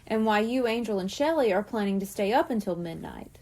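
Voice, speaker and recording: A woman voicing a gentle, loving mother cat-mermaid who speaks softly and soothingly. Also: medium pitch, lively intonation, a little fast. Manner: soft, soothing.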